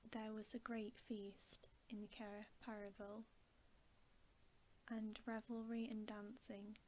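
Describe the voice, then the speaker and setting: A woman reading a bedtime story aloud in ASMR style, softly and deliberately, often in a whisper, close to the microphone. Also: medium pitch, rough recording. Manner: soft, hushed, often whispering, slow and deliberate. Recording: steady background hiss.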